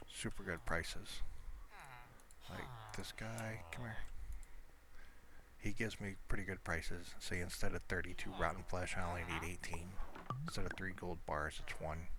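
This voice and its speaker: A man giving casual, rambling commentary over his own video game play, speaking off the cuff. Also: low-pitched. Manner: rambling, unscripted asides. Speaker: gamer narrating own gameplay. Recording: clearly noisy home recording.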